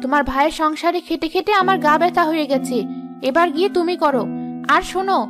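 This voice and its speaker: A woman's voice: very high voice, fast, music in the background. A woman voicing a spiteful, greedy, bossy sister-in-law in a scolding cartoon style. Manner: scolding, taunting. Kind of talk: Bengali moral-story cartoon voice acting.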